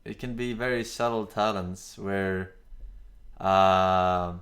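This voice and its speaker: Man, low voice, laid-back streamer, rambling chat. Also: slow. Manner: relaxed, rambling, with fillers and some swearing. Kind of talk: casual stream chat reacting and reading chat.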